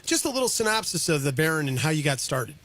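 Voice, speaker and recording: A man's voice: a little high, sing-song, fast talker, a bit bright. A man co-hosting a radio show, chatting casually with a guest. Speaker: radio co-host.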